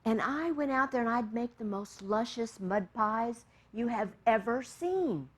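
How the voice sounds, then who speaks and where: A woman minister from Texas, leading a meditation and then giving a gentle, storytelling sermon with amused asides. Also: a little high, lively intonation, unhurried, husky.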